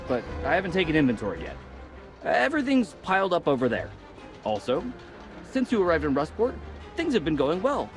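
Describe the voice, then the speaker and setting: A fussy potion seller for a fantasy game. The voice is high for a man and swoops wildly up and down in theatrical grumbles, with soft music behind. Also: full character voice. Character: fussy, grumbling but generous male potion merchant.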